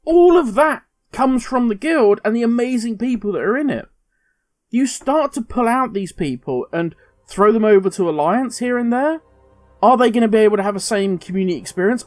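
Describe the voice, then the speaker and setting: A man's voice: high-pitched, no echo. A man hosting a gaming video, giving emphatic, opinionated commentary straight to the viewer. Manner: emphatic, ranting, direct address.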